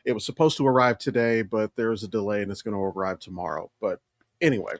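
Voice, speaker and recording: A man's voice: on the low side, fast, no echo, studio quality. A man co-hosting a podcast in casual conversation, blunt and opinionated, swearing freely as he comments. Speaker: podcast co-host. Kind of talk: casual chat, film commentary.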